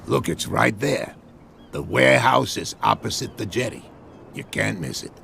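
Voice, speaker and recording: Superstitious harbor captain, deep voice, measured and wry, game dialogue, faint background noise. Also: male voice, lively intonation, sharp tone, heavily acted. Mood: thoughtful. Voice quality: gravelly. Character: weathered harbor captain, old seafaring man, proud and superstitious. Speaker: last serving sea captain.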